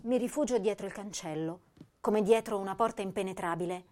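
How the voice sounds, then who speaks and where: A woman playing a sensitive, melancholy young poet, reciting her verse in a deliberate, emotive, lingering way. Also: mid-range voice, darkish tone, lightly acted. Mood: yearning, ashamed. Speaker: actress reciting poetry. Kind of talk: dramatic poetry recitation.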